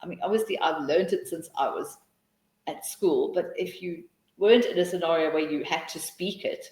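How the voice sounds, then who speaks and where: A woman's voice: lowish voice, moderate pace, a little mellow. Encouraging South African woman, roomy echo. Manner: encouraging, chatty, full of restarts. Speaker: webinar tutor answering questions. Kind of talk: live webinar Q&A.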